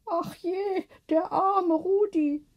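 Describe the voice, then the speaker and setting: A woman voicing a lively, confident elderly lady neighbour in an acted audiobook, with an animated, knowing manner. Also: extremely high pitch, drawn-out words, dull audio, voice pushed up, full character voice. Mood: pitying. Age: older.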